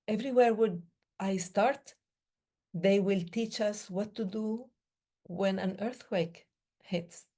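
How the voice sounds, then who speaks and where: Slow, soft-spoken woman, Middle Eastern-born. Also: mid-range voice. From Middle East. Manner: slow, measured, with pauses between short phrases. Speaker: breathing day speaker. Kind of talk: reflective talk.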